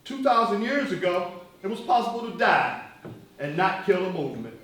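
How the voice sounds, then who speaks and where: An actor playing a fiery, defiant, sardonic activist leader on stage, speaking in emphatic, mocking, oratorical phrases. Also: male voice, high-pitched, big pitch swings, normal speed, a bit bright. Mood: grave, impassioned. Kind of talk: theatrical stage acting, rhetorical speeches. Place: stage performance, recorded from far off. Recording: distant, very echoey stage recording.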